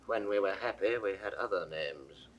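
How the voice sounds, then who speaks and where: An actor playing a grave English rebel nobleman, declaiming in measured classical Shakespearean style. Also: sits low, dull audio, crowd noise, close to an everyday voice. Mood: grave, resolved. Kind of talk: 1960s classical Shakespeare audio drama acting.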